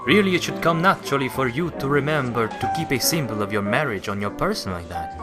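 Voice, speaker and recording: A man playing a courteous, earnest Italian gentleman passenger in a radio play, speaking formally and with measured politeness. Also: mid-range voice, animated, lightly acted. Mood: earnest reproach. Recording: music bed under dialogue. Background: music.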